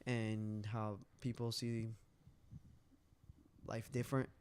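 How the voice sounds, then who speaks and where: A man's voice: lowish voice, little pitch movement, slow pace, darkish tone, slight background noise. A man in his late twenties chatting casually and candidly on a podcast, rambling, repeating himself and swearing loosely. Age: late 20s. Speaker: podcast co-host.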